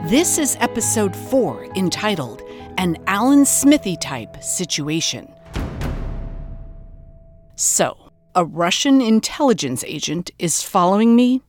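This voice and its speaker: Animated wry woman podcast investigator played by an actress. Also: medium pitch, takes it slowly, over music. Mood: wry, intrigued. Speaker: host. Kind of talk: scripted documentary podcast narration.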